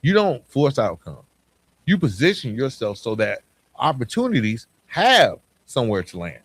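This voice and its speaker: A male podcast host delivers a motivational self-improvement monologue. His mid-pitched voice moves widely up and down for emphasis, while his phrasing stays conversational. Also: huge pitch swings, normal speed, slightly rough, no room sound.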